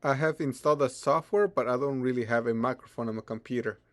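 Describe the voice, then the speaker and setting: A man giving an unscripted software tutorial in a halting way, often stammering and repeating his words as he goes. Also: medium pitch. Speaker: tutorial presenter.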